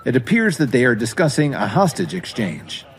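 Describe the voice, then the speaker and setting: A man's voice: medium pitch, very animated, moderate pace. A man playing a dutiful police officer reporting to a superior in an audio drama, formal and clipped.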